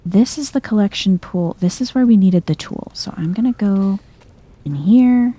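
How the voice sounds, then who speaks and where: A soft-spoken woman giving chill, understated game commentary in an ASMR style, musing aloud as she plays. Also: medium pitch, moderate pace. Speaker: video game streamer.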